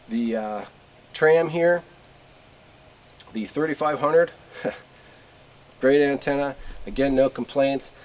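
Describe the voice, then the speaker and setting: A middle-aged man with an American accent giving a casual, unscripted gear review in a plainspoken, folksy, halting way. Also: medium pitch, darkish tone. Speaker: video host reviewing radio gear. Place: in front of a closed garage door. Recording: clear background noise, camera at a distance.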